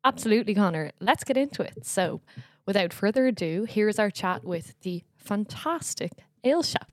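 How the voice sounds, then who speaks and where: A woman's voice: mid-range voice, lively intonation, normal speed, dry recording, studio quality. An Irish woman hosting a podcast, bubbly and giggling often as she chats. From Ireland. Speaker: podcast host. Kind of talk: casual podcast chat.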